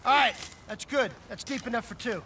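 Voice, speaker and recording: An actor playing a brutal, hot-headed cop in video game cutscenes, sneering and aggressive. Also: male voice, very high-pitched, a little fast, sharp tone, a little hiss or hum, full character voice.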